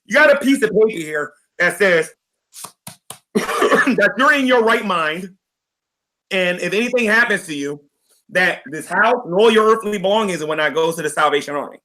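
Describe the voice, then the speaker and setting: A hoarse man in a heated livestream argument, insistently repeating the other person's name as he pleads and accuses. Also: sits high, expressive, moderate pace, pauses often, sharp tone, poor audio. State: hoarse, losing his voice. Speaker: YouTuber.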